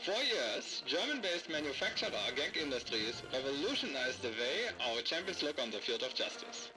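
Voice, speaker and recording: An actor playing a showy salesman in a parody game commercial, with a theatrical, grandiose sales pitch. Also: sing-song, normal speed, poor audio. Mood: showy, salesy. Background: music.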